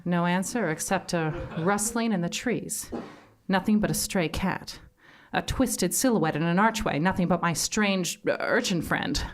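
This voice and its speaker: A woman playing an intense, frightened investigator, narrating a gothic stage drama in a theatrical, deliberate manner. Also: lowish voice, lightly acted. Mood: brooding, grave. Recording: clearly noisy live theatre recording.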